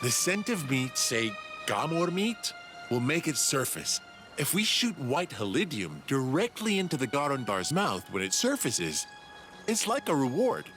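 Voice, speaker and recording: A man's voice: medium pitch, sing-song, measured pace, a bit bright. A man voicing an eager, cocky young alien inventor who explains things condescendingly in a video game. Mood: eager explaining. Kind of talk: video game cutscene dialogue. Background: background music.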